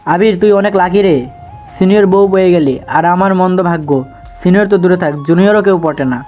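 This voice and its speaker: A teasing young buddy, played by a man, from an audio romance. The voice is high for a man, with a thin phone-like sound and music behind. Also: brisk, lightly acted. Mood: envious, joking. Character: teasing young male friend of the groom. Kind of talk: audio love story acting.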